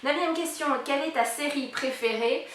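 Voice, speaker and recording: Woman in her 30s, vlogger; chatty, filler-heavy, higher voice, echoey room, mic at a distance. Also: moderate pace, a little hiss or hum. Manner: chatty, many fillers, lively question reading. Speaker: YouTuber presenting a collab channel. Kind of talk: casual vlog, answering a tag.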